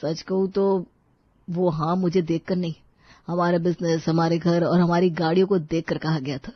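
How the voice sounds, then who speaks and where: A woman voicing a frank, self-assured young businessman groom in a storybook tale, speaking in a measured, earnest way. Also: on the low side, steady tone, dry recording, lo-fi sound, lightly acted. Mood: earnest, confident.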